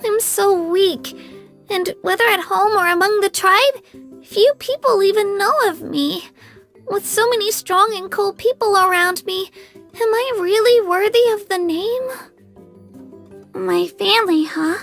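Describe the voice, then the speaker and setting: A woman's voice: extremely high pitch, unhurried, gravelly, fairly bright tone, soft background music, exaggerated voice. A woman playing an earnest, timid but determined young girl adventurer in a video game, with a sweet childlike voice. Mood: wistful, self-doubting. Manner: earnest, self-doubting, eager to please. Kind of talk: English video game character voice lines.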